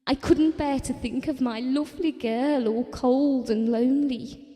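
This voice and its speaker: A woman's voice: high-pitched, fairly even intonation, unhurried, warm tone. A woman playing a fragile, grieving mother in an audio drama, tender, haunted and reflective. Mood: longing. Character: Ellen, fragile, grieving mother clinging to lost daughter. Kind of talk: intimate audio drama monologue. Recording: long reverberant hall sound.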